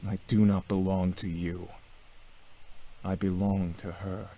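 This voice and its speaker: Defiant, formal demon heir. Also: male voice, low-pitched, level tone, slow, dark tone, soft background music. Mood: firm. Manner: formal, firm, cutting. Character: defiant young male demon heir rejecting his birthright. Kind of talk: visual novel game voice acting.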